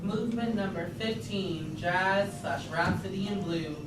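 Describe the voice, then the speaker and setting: A woman's voice: medium pitch, measured pace, heavy background noise. A woman reading stage directions aloud at a staged play reading. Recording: echoey room, distant mic, music behind.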